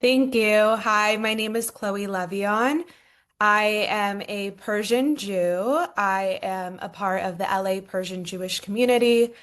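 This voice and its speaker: A woman's voice: mid-range voice, slow, a bit bright. Composed woman guest, fluent intro. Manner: fluent, composed, clearly stated. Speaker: panel guest. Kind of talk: self-introduction on a webinar. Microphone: video call.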